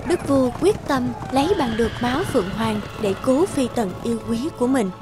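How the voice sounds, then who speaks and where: Female narrator for animated fairy tale, fairly high voice, music underneath. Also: a little fast.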